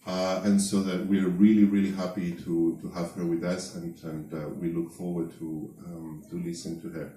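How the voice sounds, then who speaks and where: Deep-voiced man hosting, halting. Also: flat delivery, warm tone. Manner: hesitant, many fillers and restarts. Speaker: event organizer, host. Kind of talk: introductory remarks at an event. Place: event space before an audience. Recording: echoey hall, distant mic, faint noise.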